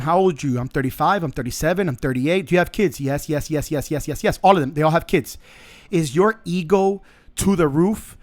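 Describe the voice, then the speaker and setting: A middle-aged man with a fairly high voice hosts his own podcast. He talks off the cuff in a blunt, joking manner and swears freely. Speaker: podcast host. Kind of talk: casual monologue.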